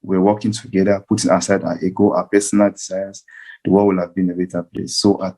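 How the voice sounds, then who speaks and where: A man's voice: sits low, level tone, fast, a little mellow. A man speaking earnestly and off the cuff as a guest on a panel, often repeating his phrases. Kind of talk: extemporaneous advocacy talk.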